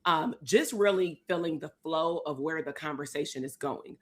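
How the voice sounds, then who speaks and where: Woman, 30s, hoarse; low-pitched stream host, laid-back and chatty with viewers. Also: moderate pace. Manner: relaxed, talks straight to viewers as y'all. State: hoarse, losing her voice. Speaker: psychologist and host. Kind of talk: live stream chat.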